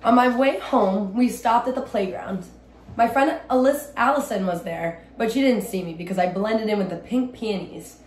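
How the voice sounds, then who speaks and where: A woman's voice: medium pitch, normal speed. A young adult woman reading a children's story aloud expressively, recorded indoors at home a little way from the microphone. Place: small indoor room at home. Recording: roomy echo, light background noise.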